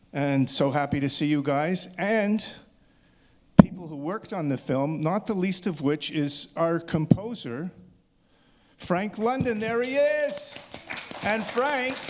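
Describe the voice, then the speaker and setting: Halting man addressing an audience. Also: high voice, slight background noise. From Canada. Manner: halting, often stammering over restarts. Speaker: filmmaker introducing a screening. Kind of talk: informal unscripted speech to an audience.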